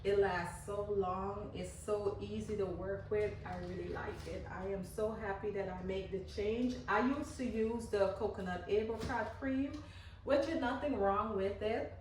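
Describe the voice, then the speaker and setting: A woman's voice: medium pitch, darkish tone, slight background noise. A woman from Guyana with a Guyanese accent, casually demonstrating candle making in her home garage and chatting as she works. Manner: chatty, loosely repeats herself while working. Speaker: candle maker. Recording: echoey garage, mic far off. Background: passing cars and lawn mowing.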